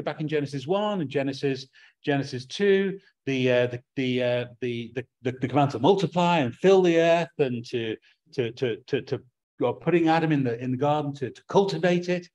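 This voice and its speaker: A man's voice: a little high, moderate pace, darkish tone. A middle-aged man with an English accent preaching a sermon from a small room at home, earnest and prone to restarting his words.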